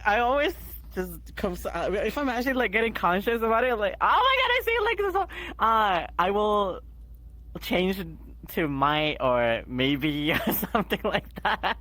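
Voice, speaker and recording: A man from Malaysia chats casually on a group podcast with a bright, forceful voice. His pitch jumps around a lot as he giggles through self-conscious asides and fillers. He sits fairly high for a man. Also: very animated, normal speed. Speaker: podcast host.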